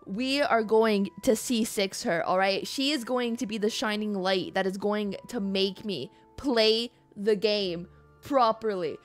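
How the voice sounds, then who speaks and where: A woman livestreamer chatting casually with her viewers in a playful, dramatically emphatic way. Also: medium pitch. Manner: playful, drawn-out emphatic delivery with dramatic exaggeration. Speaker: livestreamer, gaming channel host. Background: stream music.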